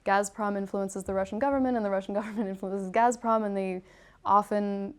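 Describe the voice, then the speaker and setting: A woman answering questions after her lecture in a measured, explanatory way. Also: mid-range voice, fairly even intonation, clean tone. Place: lecture room.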